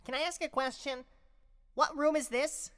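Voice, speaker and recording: A woman's voice: sits high, steady tone, moderate pace, a bit bright. A woman playing a puzzled, impatient visitor to a villain's lair in a comedic audio drama, skeptical and questioning.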